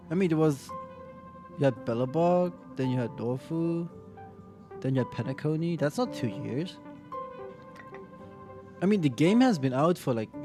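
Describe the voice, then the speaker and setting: A young man streaming a game as a vtuber, chatting casually with rambling restarts and quick reactions. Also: mid-range voice. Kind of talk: casual gaming chat and reading dialogue aloud.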